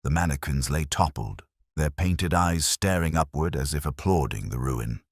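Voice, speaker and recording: A man's voice: extremely low pitch, lively intonation, moderate pace, no room sound, studio quality. A man giving a scripted mystery narration in a grave, dramatic, suspenseful manner. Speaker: story narrator.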